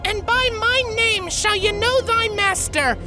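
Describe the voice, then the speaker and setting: A nervous young pony sorceress, speaks in a very high, thin voice. She intones lofty archaic words but keeps stumbling. Her voice sounds slightly distant. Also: a little slow, over loud music. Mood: solemn. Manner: grand archaic incantation, faltering with fillers. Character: young unicorn mare summoning spirits, nervous, archaic.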